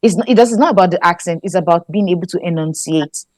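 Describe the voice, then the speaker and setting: A Nigerian woman with a lowish voice, talking through ideas in a relaxed interview. She laughs easily and trails off and restarts her sentences. The sound is close and dry, with no room echo. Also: quick pace. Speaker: guest scriptwriter. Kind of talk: casual interview chat.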